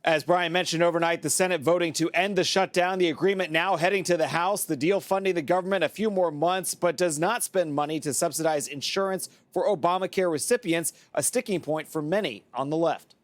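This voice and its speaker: A man's voice: a little high, fairly quick, no room sound. A man reporting live as a television news correspondent.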